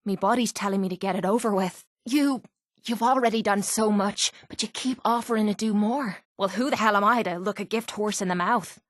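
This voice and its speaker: A woman's voice: mid-range voice, fairly quick, no room sound, studio quality, natural voice. An actress playing a tough, cynical, streetwise young woman with an Irish accent, as a companion in a video game. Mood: troubled, vulnerable. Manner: blunt, sardonic, streetwise. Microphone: close, dry studio microphone.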